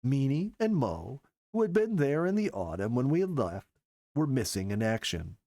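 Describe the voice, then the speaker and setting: A man's voice: mid-range voice, big pitch swings, moderate pace, gravelly, mellow, no echo. A man reading a first-person story aloud as a storyteller, with an earnest, careful storybook delivery. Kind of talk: expressive scripted first-person narration.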